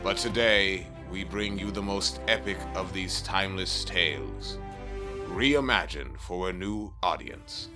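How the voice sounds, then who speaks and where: A man's voice: low-pitched, a little slow. A man narrating an epic audio drama in a solemn, portentous, scripted storytelling style. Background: music.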